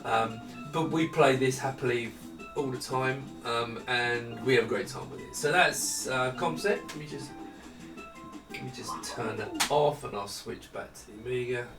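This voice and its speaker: A man's voice: medium pitch, normal speed. A man giving a casual, off-the-cuff tech demonstration, thinking aloud in a rambling way. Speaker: product demonstrator. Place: indoor room with retro computers. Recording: roomy echo, distant mic, some music.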